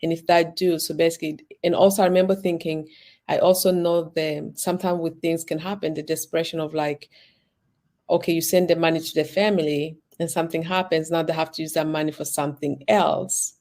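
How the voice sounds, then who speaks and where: Low, flat-voiced woman guest. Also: a little fast. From Uganda, raised in Sweden. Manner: rambling, many restarts and "like"s. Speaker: guest, designer and business owner. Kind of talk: casual interview chat.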